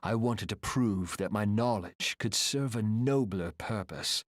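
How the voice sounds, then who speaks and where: An actor playing a proud, reflective exiled engineer and airship captain in a video game, speaking in an earnest, measured, solemn way. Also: male voice, lowish voice, no room sound, studio quality, close to an everyday voice. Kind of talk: dramatic video game voice acting.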